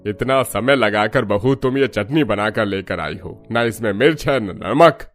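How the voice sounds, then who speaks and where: Guy doing older father in law, low voice, grumbling and nitpicky, cartoon story, music behind. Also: a little slow, natural voice. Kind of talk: Hindi animated family story dialogue.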